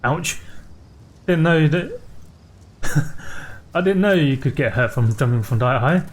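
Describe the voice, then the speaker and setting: A man's voice: a little high, expressive, measured pace, a little hiss or hum. A man hosting a gaming video, giving casual let's play commentary and talking to himself and his viewers.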